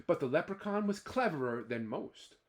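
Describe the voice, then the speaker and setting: A man's voice: a little high, sing-song, normal speed. A man as storyteller, narrating a children's folktale expressively with dramatic exclamations and playful asides. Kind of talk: expressive children's storytelling, part read and part ad-libbed.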